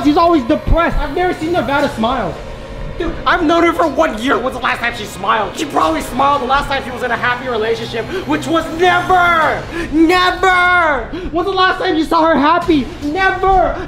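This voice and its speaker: A man's voice: very high voice, rough recording. A man, vlogging in a frantic, repetitive way on a handheld camera inside a house. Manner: frantic, repeats himself in excited bursts. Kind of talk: staged horror vlog, reacting on camera. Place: inside a house, moving about. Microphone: handheld camera close by.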